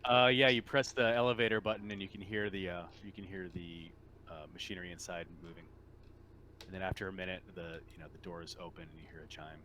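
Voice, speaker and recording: A man's voice: sits low, fairly even intonation, fast talker, sharp tone. A man narrating a tabletop roleplaying game as game master, describing things in a conversational, hesitant way. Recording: noticeable background noise.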